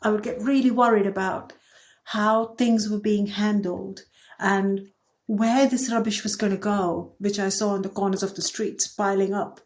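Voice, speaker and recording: A woman's voice: medium pitch, fairly even intonation, normal speed, darkish tone. Woman, reflective interview guest, candid and easygoing, mid-range voice. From India. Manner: thoughtful, candid, light laughs. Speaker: podcast guest, agency co-founder.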